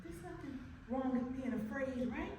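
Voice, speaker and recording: A woman's voice: sits high, expressive, normal speed, mellow. An actress playing a devout woman facing breast cancer, talking to herself in a questioning, praying way. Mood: anxious, prayerful. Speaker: woman awaiting a cancer consult. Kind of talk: inner-monologue acting. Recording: very echoey, distant, noisy.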